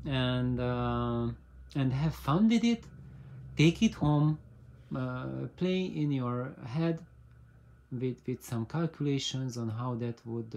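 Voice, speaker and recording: A man's voice: mid-range voice, darkish tone. A man hosting an informal explainer, speaking in a hesitant way and often repeating words as he goes. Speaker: host of an audio hobbyist channel. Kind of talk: informal explainer talk to camera.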